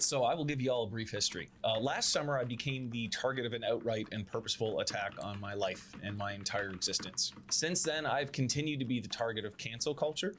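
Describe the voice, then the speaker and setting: A man's voice: on the low side, a bit bright, slight background noise. A man delivering a prepared video statement to camera in an earnest, self-justifying way, with formal, repetitive phrasing. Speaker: video creator giving a response statement.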